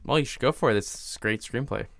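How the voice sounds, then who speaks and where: A man co-hosting a comedy podcast, making casual, deadpan banter as if he were entirely serious. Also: mid-range voice, fast, gravelly, no echo. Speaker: podcast co-host.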